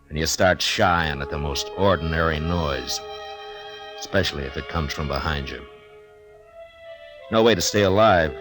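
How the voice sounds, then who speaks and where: An actor playing a weary, watchful Old West lawman in a 1950s radio western, plain-spoken and measured, with an American accent. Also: extremely low pitch. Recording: old radio recording with music.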